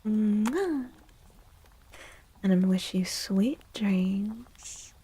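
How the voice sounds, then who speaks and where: A woman hosting an ASMR livestream in a soft, breathy voice that often slips into whispers. She speaks slowly and with a mellow tone. Her manner is gentle, playful and cutesy, as she chats affectionately to her listeners. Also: mid-range voice, animated, low-quality recording. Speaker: livestream host.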